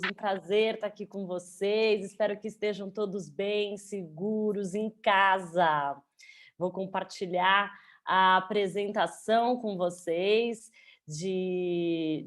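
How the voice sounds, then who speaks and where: A woman giving an online nutrition lecture. She speaks slowly and unhurriedly, in a calm, measured way, with a middle pitch.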